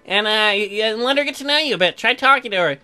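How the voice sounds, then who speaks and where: An awkward, earnest young farmer husband, read by a woman, halting and stammering through his lines. It is a visual novel character read with music behind it. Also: on the high side, lively intonation, sharp tone. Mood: earnest, patient.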